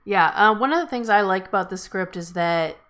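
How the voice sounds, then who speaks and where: A woman's voice: medium pitch, a little fast, fairly bright tone. A woman hosting a casual group feedback discussion, speaking informally. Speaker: table read host.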